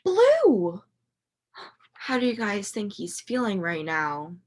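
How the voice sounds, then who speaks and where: A woman reading a picture book to young children like a teacher, expressive and questioning, with dramatic emphasis and a slightly husky voice. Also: mid-range voice. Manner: animated, expressive storytelling with dramatic emphasis and pauses. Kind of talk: interactive children's read-aloud, asking questions.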